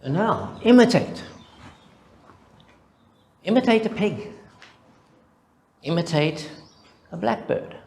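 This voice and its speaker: An older man with a Zimbabwean accent, a mathematician reading a prepared conference paper in a deliberate, reflective way, building rhythmic lists. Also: sits high, lively intonation, vocal fry, darkish tone.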